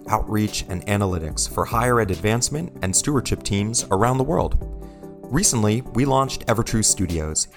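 A man reading a scripted sponsor ad in an upbeat, promotional tone. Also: on the low side. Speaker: sponsor announcer. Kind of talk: scripted ad read. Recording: clear music bed behind.

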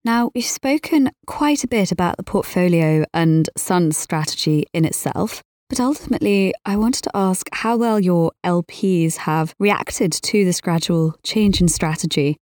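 A woman's voice: on the low side, a little slow, hardly any pauses, darkish tone, no room sound, studio quality. A woman hosting a business interview, putting prepared questions in a polite, articulate, professional way. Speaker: interviewer, podcast host.

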